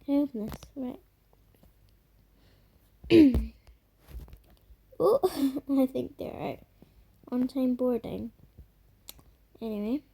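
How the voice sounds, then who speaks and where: Woman gamer, croaky, high and soft-toned, loose filler-laden commentary. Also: very slow, long pauses. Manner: rambling, filler-heavy, trails off into murmurs. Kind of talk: casual gameplay commentary.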